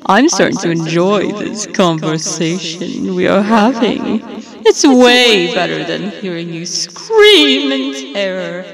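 A woman's voice: medium pitch, expressive, takes it slowly, vocal fry, crowd noise, poor audio. A woman voicing a campy, sinister demon villain with an androgynous edge, theatrical and sweetly taunting in an ASMR roleplay. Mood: smug, scheming. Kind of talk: character ASMR roleplay, speaking to the listener.

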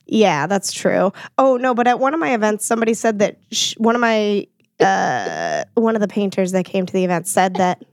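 A young woman co-hosting a podcast, chatting casually with a slightly hoarse voice as she recovers from losing it, rambling with lots of "like.". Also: mid-range voice, very animated, normal speed, no room sound. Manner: rambling, lots of "like", coughs now and then. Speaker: podcast co-host. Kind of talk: casual chat.

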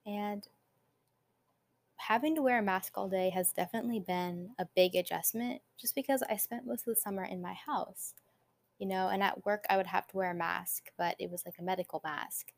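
A woman's voice: medium pitch, gravelly. Chatty young woman podcaster. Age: young adult. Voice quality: naturally quiet voice. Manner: chatty, upbeat, quick asides with light laughs. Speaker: podcast host, college student. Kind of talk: casual solo podcast chat.

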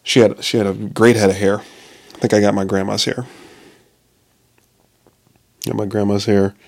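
A man's voice: lowish voice, little pitch movement, normal speed, vocal fry, darkish tone. A man in his late twenties talking in a casual, rambling monologue, swearing often, restarting his sentences and laughing at himself. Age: 20s. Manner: profane, self-interrupting, laughs often.

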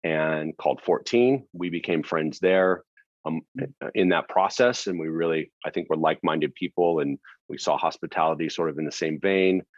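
A middle-aged man, a nightlife entrepreneur, telling his career story in a casual interview, with many fillers and throat clearing. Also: a bass voice, moderate pace, no room sound. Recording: dry, dull lo-fi audio.

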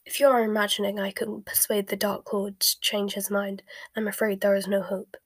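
Woman reading as a cold, flat-voiced male spy. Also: slightly rough, dry recording. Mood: cool, controlled. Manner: flat, low, measured. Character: cold, controlled male spy, grave and curt.

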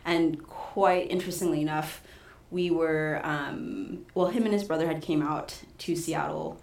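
A woman who coaches fighters answers interview questions in a soft-spoken, casual way. Her voice sits low for a woman. An echoey room surrounds her. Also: steady tone, measured pace, vocal fry. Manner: soft-spoken, storytelling with light laughs. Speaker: fighter, promoter and boxing coach.

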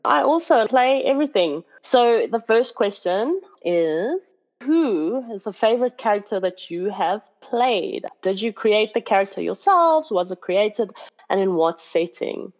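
A woman hosts a panel discussion in an upbeat, enthusiastic way, her voice at an ordinary middle pitch. The sound is somewhat dull and lo-fi, like a streamed call. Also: expressive, unhurried, a little mellow. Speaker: panel host. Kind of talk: hosting a live panel discussion.

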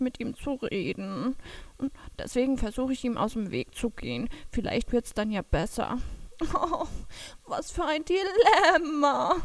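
A woman's voice: sits high, dry recording. Woman voicing a timid bleating young ewe. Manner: hesitant, stammering, bleats between words. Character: timid, anxious young female sheep who bleats. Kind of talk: children's audio drama acting.

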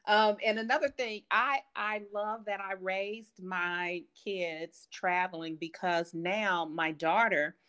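A woman from Kansas City, a grandmother, chatting as a guest about her family travels in a relaxed storytelling way. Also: mid-range voice, slow pace, few pauses, clear, resonant tone, lo-fi sound. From Kansas City, Missouri. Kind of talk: casual interview chat.

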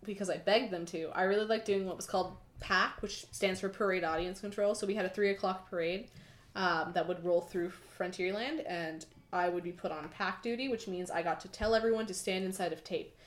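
A woman's voice: mid-range voice, steady tone, fairly quick, roomy sound. A young woman in her twenties, chatting casually and self-mockingly as a podcast co-host, with many fillers. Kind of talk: casual chat.

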